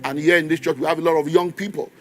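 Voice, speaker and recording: A man's voice: on the high side, steady tone, rapid-fire, faint music behind. A man in his fifties with a Nigerian accent, preaching a sermon in a church, stressing and repeating key phrases and leading the congregation in call and response. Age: 50s.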